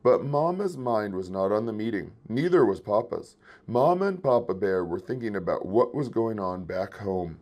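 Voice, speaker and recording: Man reading aloud with very lively pitch. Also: moderate pace. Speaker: children's book reader. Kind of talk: expressive read-aloud storytelling.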